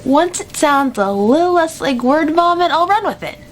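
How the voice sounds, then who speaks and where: A high, brisk teenage girl acted by a woman runs her debate team with dry sarcasm. It is radio drama dialogue over a noisy, rumbling recording. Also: animated. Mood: dry, focused. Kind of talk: amateur radio drama acting. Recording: clear background noise with low rumble.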